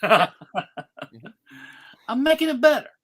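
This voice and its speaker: A host speaks at a slow pace in a casual live panel style. The delivery is jokey, with mock-showman announcements addressed straight to the audience. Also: high-pitched, very animated, fairly bright tone. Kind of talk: casual live chat introducing scripts.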